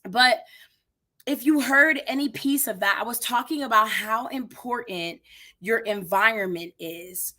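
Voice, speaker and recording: A woman in her thirties hosting an energetic motivational live stream, talking straight to her viewers, her voice a little raspy today. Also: fairly high pitch, unhurried. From United States. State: slightly raspy voice. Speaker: livestream host.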